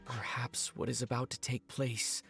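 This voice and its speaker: An actor playing a thoughtful, serious man in a video game, speaking in a measured, articulate way. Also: mid-range voice, level tone, creaky, a bit bright. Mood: grave, pondering. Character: thoughtful, grave man piecing together a prophecy. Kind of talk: scripted video game dialogue. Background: game music.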